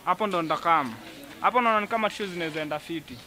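A chatty young Kenyan man an actor hanging out with buddies in a radio drama. His voice is high and quick, slangy and casual, with loud guitar music behind it. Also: natural voice.

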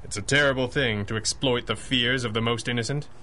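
An actor playing a righteous, dry-witted masked superhero with formal, theatrical diction in a 1930s-style radio drama. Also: male voice, medium pitch, fairly even intonation, fairly quick, sharp tone, a little hiss or hum, close to an everyday voice. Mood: grave, measured.

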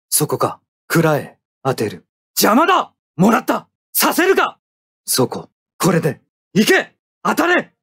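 A cool stoic young soldier pilot from an anime fighting game, clipped military talk, pitch moves a lot, really dry sound. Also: male voice, husky, dry recording, full character voice. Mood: intense battle cries. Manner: terse, calm, clipped military phrasing. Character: stoic young male mecha pilot soldier, coolly tactical. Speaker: video game voice actor. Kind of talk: anime combat game voice lines.

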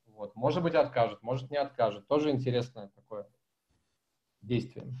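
A man's voice: medium pitch, normal speed. Chatty man on a dull video call. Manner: animated, gestures a lot, chatty and informal. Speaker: conference speaker answering questions. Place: small room at home. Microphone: laptop webcam mic on video call.

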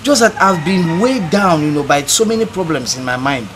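An actor playing a troubled, irritable young village farm labourer, with a Nigerian accent and hesitant, repetitive speech. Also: male voice, on the high side, animated, normal speed, music in the background, close to an everyday voice. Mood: apologetic, pleading. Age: young adult. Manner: hesitant, repeats words. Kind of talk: film drama acting.

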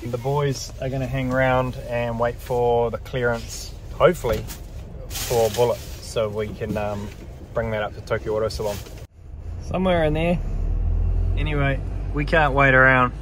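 A man's voice: medium pitch, fairly even intonation, normal speed, fairly bright tone, slight background noise. A man, giving casual, exclamatory vlog narration into a handheld phone. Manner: informal, exclamatory, slangy. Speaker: vlog host, race car driver. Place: on the move outdoors, in a vehicle and in a large exhibition hall. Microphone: handheld phone at arm's length. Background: music.

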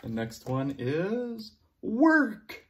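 A young man teaching young children, playful and animated, cheering them on and making sound effects while he reads a picture book aloud in a classroom. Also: sits high, very slow. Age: young adult. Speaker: preschool teacher. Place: classroom with word cards on a board.

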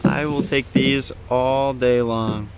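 A man's voice: medium pitch, steady tone, slow pace. A young man talking casually and unscripted to a camera on his kayak while fishing outdoors. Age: young adult. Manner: informal, unscripted. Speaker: fishing vlogger. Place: outdoors on a kayak on a small lake. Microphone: action camera mounted on the kayak, a step or two away. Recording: loud background noise, outdoor action camera.

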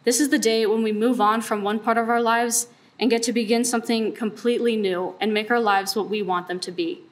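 A woman's voice: a little high, steady tone, a little fast. A young woman giving an earnest commencement speech with rhetorical repetition. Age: young adult. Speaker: graduating student speaker.

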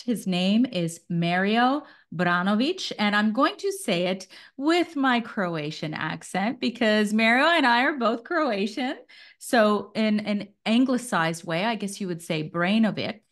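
An upbeat woman in her forties, hosting a podcast from a small home studio. She talks in a quick, chatty way with friendly asides, her voice picked up close on a studio microphone. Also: mid-range voice. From Canada. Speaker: podcast host. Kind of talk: casual video-call interview. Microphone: studio mic on a stand.

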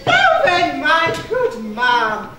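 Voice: sits high, huge pitch swings, drawn-out words, exaggerated voice. A performer playing a haughty, easily offended vegetable seller in a live stage pantomime, with exaggerated theatrical delivery. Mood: wheedling, pushy. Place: theatre stage, distant microphone. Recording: echoey noisy theatre stage from far off.

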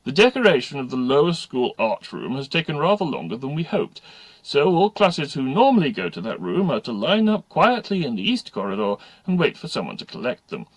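A man's voice: mid-range voice, sing-song, brisk, breathy edge, no room sound, pitched above the performer's own voice, rough recording. A man voicing an officious headmaster who makes school announcements in a hearty, formal, emphatic way.

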